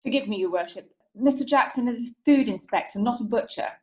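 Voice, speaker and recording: A woman's voice: fairly high pitch, fairly quick, echoey room, natural voice. An actress playing a crafty female defence lawyer in a period courtroom play, formal and insinuating. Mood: deferential, sly. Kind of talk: theatrical courtroom play acting.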